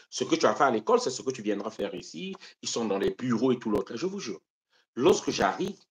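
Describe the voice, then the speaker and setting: A man from Congo-Brazzaville hosting a live stream of political commentary. He declaims with passion, then drops into low muttered asides to his viewers. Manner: impassioned, rhetorical, swings between loud declaiming and low muttered asides. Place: home in Europe.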